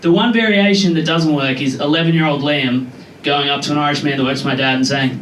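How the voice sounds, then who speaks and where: Young Australian man, stand-up comic, chatty and self-deprecating, slightly high voice, echoey pub room recorded from afar. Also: distant mic, slight background noise. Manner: chatty, self-deprecating, quick asides to the crowd. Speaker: stand-up comedian. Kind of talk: stand-up comedy set. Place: pub comedy room with a live audience. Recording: echoey room taped from afar, loud crowd laughter.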